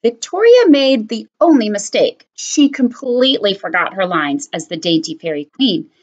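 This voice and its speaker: Animated woman teacher reading a story. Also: mid-range voice, normal speed, dull audio. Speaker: elementary school teacher. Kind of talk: reading a picture book aloud.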